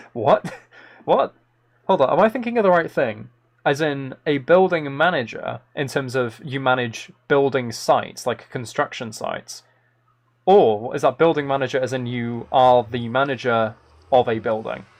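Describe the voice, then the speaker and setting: A man's voice: medium pitch, measured pace. A man hosting a live game stream in casual chat, rambling playfully and thinking aloud into a close microphone.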